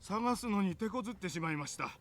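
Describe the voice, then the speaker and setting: A middle-aged father from a visual novel, gentle and courteous to everyone. His voice sits a bit high for a man and moves in lively, smiling curves. Soft game music plays underneath. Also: racing. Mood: genial, polite. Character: gentle, polite middle-aged father who keeps a shrine. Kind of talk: Japanese visual novel voice acting. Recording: game audio with background music.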